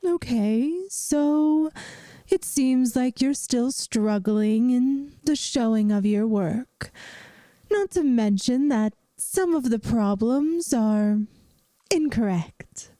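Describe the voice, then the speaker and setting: A woman playing a centuries-old vampire math teacher in an ASMR roleplay, gentle, wry and reassuring, with a soft, soothing, teacherly manner. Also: on the high side, expressive, takes it slowly, dry recording. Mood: gently welcoming.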